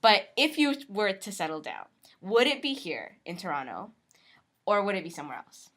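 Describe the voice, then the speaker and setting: A woman's voice: medium pitch, very animated, normal speed, a bit bright. A woman co-hosting a podcast, asking questions in an easygoing, chatty way with frequent laughs. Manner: friendly and easygoing, laughs often. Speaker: podcast co-host. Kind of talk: casual interview questions.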